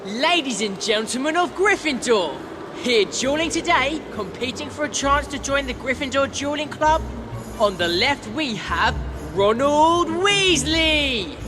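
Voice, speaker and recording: Lively, high-voiced bossy schoolgirl. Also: a little slow, music in the background. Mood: showy. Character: bossy, eager teenage schoolgirl duelling club leader. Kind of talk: video game voice acting.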